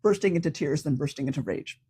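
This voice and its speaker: A woman co-hosting a podcast while visiting a friend in hospital, chatting casually with a loud, open manner. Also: sits low, quick pace, breathy edge. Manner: loud, laughs big. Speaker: podcast co-host. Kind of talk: casual chat. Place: hospital room.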